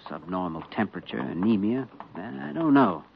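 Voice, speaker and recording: An actor playing a patient, good-natured young doctor with a reasonable, soothing manner in a 1940s radio drama. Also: male voice, low-pitched, wildly swooping pitch, moderate pace, vocal fry, full character voice. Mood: professional. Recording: noisy, dull old radio recording.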